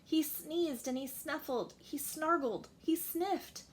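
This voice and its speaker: High-pitched woman reading a storybook. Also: takes it slowly, rough recording. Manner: dramatic, emphatic rhythmic reading. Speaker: teacher reading aloud. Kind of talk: expressive children's storybook narration.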